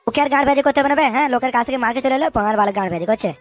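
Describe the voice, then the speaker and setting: Performer as bullying cartoon boss, rapid-fire, high pitch, hectoring, suspicious, foul-mouthed. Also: close to an everyday voice. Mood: scornful. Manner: scolding, hectoring, repeats tag questions, curses constantly. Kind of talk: comic cartoon dub with street slang.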